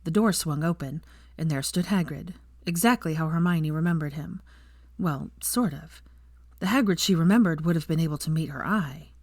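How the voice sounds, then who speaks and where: A woman's voice: deep voice, moderate pace, a little mellow, dry recording. A woman reading fiction aloud as a scripted audiobook narration, recorded close to the microphone.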